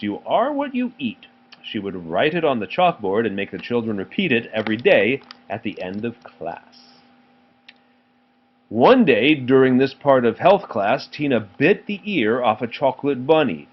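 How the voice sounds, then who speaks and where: A man reading a children's story aloud in an animated style. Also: medium pitch, measured pace. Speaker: storybook reader. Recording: dull home recording, faint noise.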